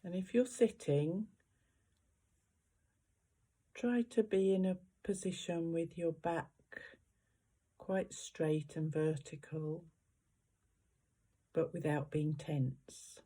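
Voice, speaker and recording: Meditation guide: very dark, relaxed timbre, slow pace, gentle instruction with roomy pauses between phrases. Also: mid-range voice.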